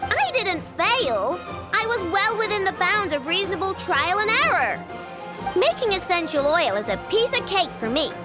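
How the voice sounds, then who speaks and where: Tiny stubborn girl inventor for a game, super high voice, bouncy range, breezy and coaxing. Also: very animated, moderate pace. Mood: confident, breezy. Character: spirited young girl inventor, stubborn and chatty. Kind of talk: video game voice acting. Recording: background music behind the voice.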